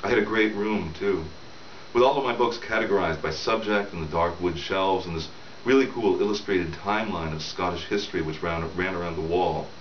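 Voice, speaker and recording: Low-voiced man reading, distant and roomy. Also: a little fast. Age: middle-aged. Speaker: author reading aloud from his novel. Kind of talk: reading a first-person novel aloud. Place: small room at home, indoors. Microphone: camera several feet away. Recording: roomy, distant camera mic, dull with hiss.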